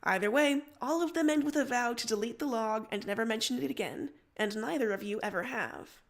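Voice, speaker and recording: A woman's voice: on the high side, a little fast. A woman hosting a livestream, reading stories aloud and chatting casually. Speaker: livestream host and story reader. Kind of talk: story narration and casual livestream chat.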